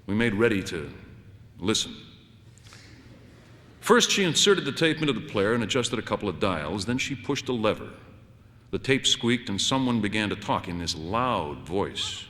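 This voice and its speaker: A man's voice: fairly low pitch, big pitch swings, normal speed, bright, forceful tone, in a large hall. A man narrating a first-person literary story in a conversational, wry, dramatic way as an audiobook reader.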